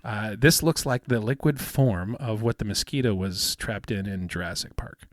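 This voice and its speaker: A man in his fifties with a soothing, smooth voice, chatting casually as a podcast co-host and rambling with many fillers and restarts. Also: fairly low pitch, normal speed, dry recording, clean studio sound. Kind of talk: casual chat.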